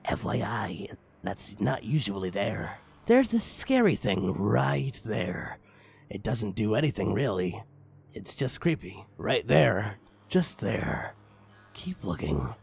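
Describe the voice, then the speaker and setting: A man reads a parody horror story with mock-ominous drama. His voice is lowish and husky, swinging widely in pitch as he draws out phrases theatrically. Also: big pitch swings, takes it slowly, soft background music. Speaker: creepypasta reader. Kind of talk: dramatic comic story narration.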